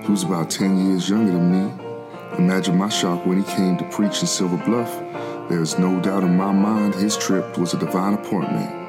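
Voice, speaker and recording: A man's voice: low-pitched, fairly even intonation, moderate pace, over music. A man playing a devout, formerly enslaved preacher of the 1700s, telling his spiritual struggle in solemn, reflective first-person narration. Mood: earnest, reflective. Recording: loud musical backing.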